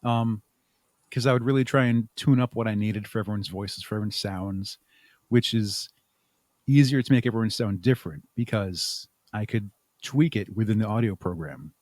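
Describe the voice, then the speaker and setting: A man's voice: fairly low pitch, moderate pace, a little mellow, dry recording, studio quality. A man answering as an interview guest, talking conversationally and explaining things step by step with examples. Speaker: interview guest, audio drama creator.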